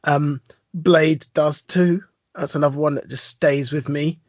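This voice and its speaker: A man with a British accent co-hosting a podcast, talking excitedly and laughing a lot. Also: on the high side, a little slow, no echo. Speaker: podcast co-host. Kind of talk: casual chat.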